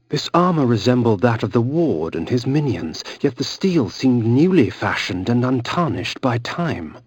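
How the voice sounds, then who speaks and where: Aristocratic vampire, gothic game narration, brooding, expressive wide-ranging delivery, archaic diction, dry sound. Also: male voice, mid-range voice, husky, dry recording. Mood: musing. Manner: theatrical, archaic, solemn diction.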